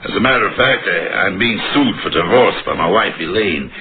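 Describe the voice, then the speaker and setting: A man's voice: low voice, expressive, brisk. An actor playing a blunt, self-important millionaire businessman being questioned in an old radio crime drama. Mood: rattled, blunt. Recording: muffled old radio recording, background chatter.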